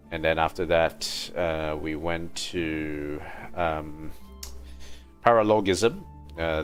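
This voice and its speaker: A man livestreaming a game with casual commentary, thinking aloud and muttering to himself, sometimes reading on-screen text. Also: deep voice. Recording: game music behind the voice. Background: soft video game music.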